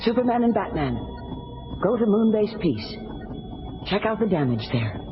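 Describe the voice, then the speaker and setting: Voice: medium pitch, very animated, normal speed, natural voice. A performer playing a resolute Amazon princess superhero in an audio storybook, speaking formally and with authority. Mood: commanding. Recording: dull old audiobook, loud music behind.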